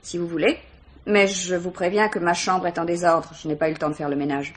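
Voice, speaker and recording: An actress playing a guarded, disdainful young student, curt and defensive, in a 1950s French radio mystery. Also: female voice, lowish voice, steady tone, a little fast, husky. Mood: cool, distant.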